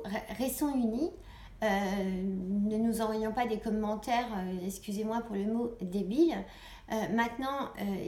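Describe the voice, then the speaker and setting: A woman's voice: medium pitch, darkish tone. A woman gives an informal political address to viewers, earnest and exhorting, repeating phrases for emphasis. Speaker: online video host. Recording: echoey room, clear background noise.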